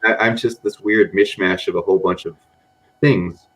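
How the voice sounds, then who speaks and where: Deep-voiced man, rambling and casual. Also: steady tone, normal speed, fairly bright tone, poor audio. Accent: mixed American. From grew up in Japan among Americans. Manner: rambling, frequent "you know" and "um". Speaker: podcast guest, data scientist. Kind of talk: casual podcast interview.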